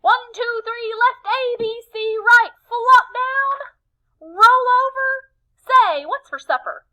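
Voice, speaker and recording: A woman voicing a loud, clumsy, odd male penguin who blares his words. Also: very high voice, expressive, takes it slowly, voice pushed up, heavily acted. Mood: blaring. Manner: blaring, shouting. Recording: slightly muffled lo-fi recording.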